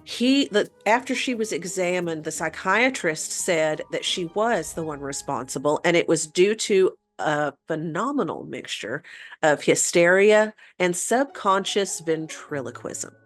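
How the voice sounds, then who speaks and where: Woman, deep voice, informal storyteller, podcast co-host, conversational delivery, faint music behind. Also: expressive, unhurried. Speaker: podcast co-host and presenter of the case. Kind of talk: conversational storytelling of a paranormal case.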